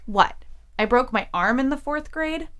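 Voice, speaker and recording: A woman's voice: high-pitched, animated, measured pace, fairly bright tone, smooth. An actress playing a troubled, defensive female college student in an audio drama, insistent and emphatic. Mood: exasperated.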